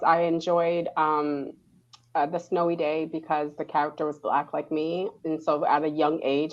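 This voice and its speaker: Lower-voiced woman, dull lo-fi sound. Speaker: author and publisher. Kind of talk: panel discussion answer.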